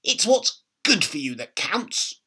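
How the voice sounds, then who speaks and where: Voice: medium pitch, big pitch swings, pushed and bright, voice pushed up, heavily acted. A reader voicing a nasty, witchy old grandmother in a storybook, bossy and gloating, with a throaty voice and menacing emphasis. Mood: snappish, bossy. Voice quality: throaty, raspy, whispery at times. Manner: snapping, slow menacing emphasis.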